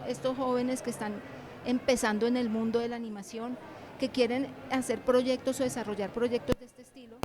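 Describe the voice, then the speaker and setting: A woman answering interview questions as an author and teacher, reflective and halting, in short repeated phrases. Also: on the high side, noisy background.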